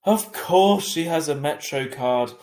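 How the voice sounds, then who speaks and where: Emphatic, exasperated dad read by a man, raised pitch. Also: expressive, a little slow, a little mellow, reverberant. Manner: emphatic, insistent. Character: impatient, easygoing father, exasperated but affectionate.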